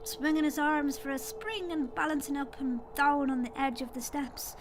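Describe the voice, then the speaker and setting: A woman's voice: high voice, moderate pace, noisy background, close to an everyday voice. A woman playing a droll little leprechaun king, telling his tale in folksy phrasing in an audio drama. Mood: wry storytelling. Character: leprechaun king, small old male storyteller, droll and wry.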